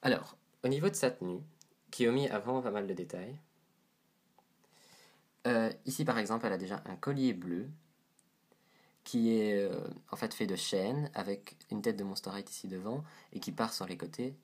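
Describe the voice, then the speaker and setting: A man's voice: lowish voice, normal speed. A man with a head cold and a stuffy nose, reviewing a doll to camera in a casual, hesitant way, describing details as he handles it.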